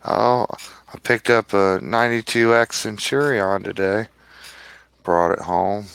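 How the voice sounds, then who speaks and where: A man co-hosting a live stream in relaxed, everyday chat. His voice is on the low side and very clean and resonant. The sound is dry, with hardly any room in it. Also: a little slow, vocal fry, clean tone, dry recording. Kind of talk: casual chat.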